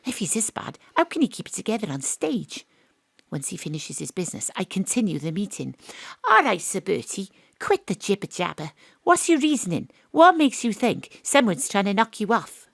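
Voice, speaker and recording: A wry female gumshoe, telling her case in mock-noir style. She is brisk and punchy, with very lively pitch and a dry, close sound, in a comic audio drama. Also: gravelly, dry recording. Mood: brisk questioning. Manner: deadpan noir-style narration, punchy questioning.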